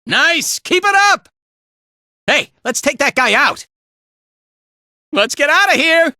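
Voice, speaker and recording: High, bright, slacker game hero. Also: male voice, very animated, slow pace, no echo, clean studio sound, full character voice. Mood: excited. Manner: drawling, easygoing, casual, with trailing tags. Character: laid-back, playful, slacker male fighter and guide. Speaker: video game voice actor. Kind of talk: animated video game voice lines.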